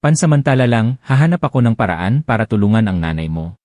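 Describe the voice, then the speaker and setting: An earnest husband torn by grief and resolve, read by a man narrating an audiobook. He pleads with a held-back intensity in a low, mellow voice, close and dry. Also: very animated, fast, no room sound. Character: grieving determined husband, adult man.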